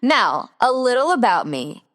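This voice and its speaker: Brazilian woman, portuguese native, americanized english accent, solo podcast host, earnest and reflective delivery, animated pitch. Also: measured pace. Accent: Americanized English with Brazilian Portuguese roots. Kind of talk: scripted solo podcast monologue. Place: her room.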